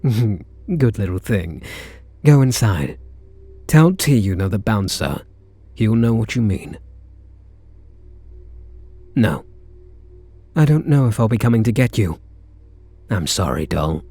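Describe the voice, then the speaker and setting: A man's voice: on the low side, dark tone, slightly rough, full character voice. A man with a British accent playing a smitten kidnapper, a sweet-talking gangster, in an intimate ASMR roleplay. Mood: resolved, tender, then regretful, tender. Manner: slow, hesitant sweet talk with pet names.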